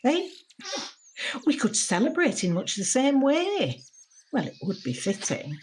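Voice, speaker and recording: An actress playing a lonely, anxious woman in her seventies in an intimate audio drama monologue, husky and confiding, often putting questions to herself. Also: high-pitched, big pitch swings, a little slow, darkish tone, slight background noise. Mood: wistful. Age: 70s. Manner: reflective, confiding, with rhetorical questions. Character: lonely, anxious elderly mother shielding at home in lockdown.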